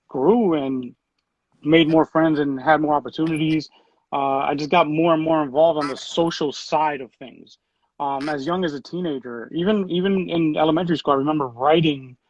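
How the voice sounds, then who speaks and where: A man in his thirties, a city program director, answering interview questions in a relaxed way with lots of fillers and restarts. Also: on the high side, dry recording, highs cut off. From Puerto Rico.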